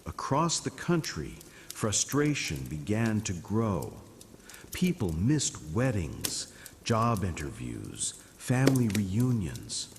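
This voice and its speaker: A man narrating a scripted bedtime story in a calm, soothing, dramatic way. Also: on the low side, takes it slowly, in a large hall, slight background noise.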